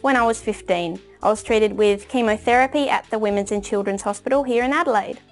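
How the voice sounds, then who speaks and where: A woman's voice: mid-range voice, brisk, soft background music. A woman of twenty-one, giving a scripted introduction to camera. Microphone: lapel.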